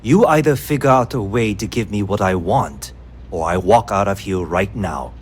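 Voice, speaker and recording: Very deep, pushy underworld dealer. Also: male voice, normal speed, slightly rough. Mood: demanding. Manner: curt, confrontational, goading. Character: greedy, shady underworld seller, pushy and edgy. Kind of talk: video game dialogue acting.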